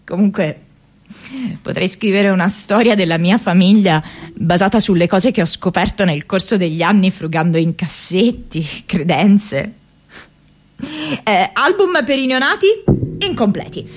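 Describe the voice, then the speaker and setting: An actress playing an idealistic, restless young woman in a radio theatre monologue, speaking expressively and haltingly, like an affectionately rebellious daughter. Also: medium pitch. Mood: tender, nostalgic. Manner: halting, expressive, with broken repetitions.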